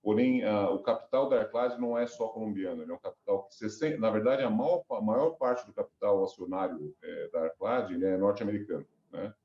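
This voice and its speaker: A man's voice: lowish voice, a little fast, hardly any pauses, reverberant, highs cut off. A middle-aged man with a cold and a stuffy, nasal voice, rambling through a business interview as a company director. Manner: rambling, many restarts and fillers.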